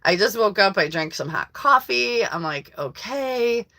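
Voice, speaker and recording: Hoarse, bright-voiced woman live-streaming. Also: mid-range voice, lively intonation, measured pace. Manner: chatty, rambling, laughs often. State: hoarse from allergies. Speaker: livestream host. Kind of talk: casual live-stream chat. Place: rural home.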